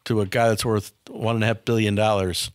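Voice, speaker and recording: A businessman in his fifties tells blunt stories from his career in a dark studio. His voice sits low and sounds dry and close to the mic. He talks candidly and often restarts phrases by repeating a word. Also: flat delivery, brisk, no echo. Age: 50s. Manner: frank, anecdotal, repeats words when starting. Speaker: business founder, guest. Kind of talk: candid interview storytelling. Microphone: studio boom mic.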